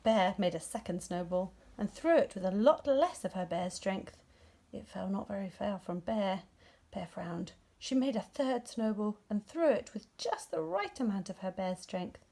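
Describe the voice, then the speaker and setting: A woman narrating a story for young children in an expressive storytelling style. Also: medium pitch, moderate pace. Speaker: storybook reader.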